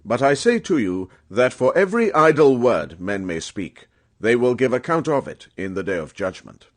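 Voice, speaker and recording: A man narrating scripture as a dramatic audiobook reading, with a solemn, emphatic and measured oratorical delivery. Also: medium pitch, big pitch swings.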